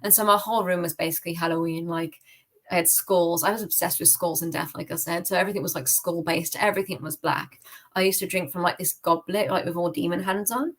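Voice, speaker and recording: Woman, young adult, British accent, lowish pitch, casual storyteller, conversational. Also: quick pace. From United Kingdom. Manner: informal, chatty, frequent "like". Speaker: podcast guest giving testimony.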